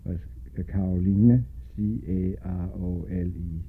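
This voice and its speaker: An older man from Denmark with a Danish accent, recalling his life in an oral history interview, pausing often and spelling out names letter by letter. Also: low voice, fairly even intonation. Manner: slow, spells out names letter by letter, many hesitations. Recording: tinny lo-fi recording with faint hiss.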